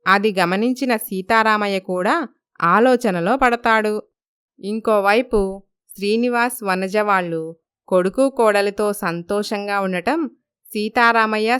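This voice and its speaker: A woman works as narrator of a scripted moral tale. Her pitch is medium, and her voice is clean and smooth. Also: a bit bright, dry recording, studio quality. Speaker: story narrator.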